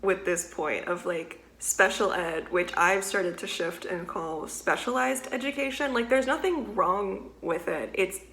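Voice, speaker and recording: A woman in her twenties hosting a reaction video, chatty, laughing often and throwing in quick comments. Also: mid-range voice, a bit bright, rough recording.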